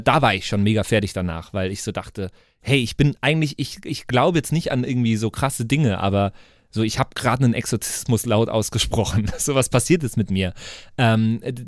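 A man's voice: mid-range voice, dry recording. Slangy male podcast host, close and dry. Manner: rambling, slangy, joking. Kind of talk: casual chat.

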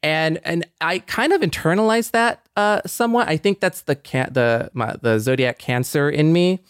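Male co-host, clear medium-pitched voice, reflective casual talk, dry recording. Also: moderate pace, no echo. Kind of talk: casual conversation.

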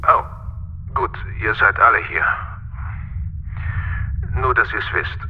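Hesitant male caller, apologetic, slightly deep, lo-fi transmission sound, sci-fi radio play. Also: slight background noise. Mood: hesitant, uneasy. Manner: halting, apologetic. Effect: video-call transmission filter. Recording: muffled, band-limited radio transmission.

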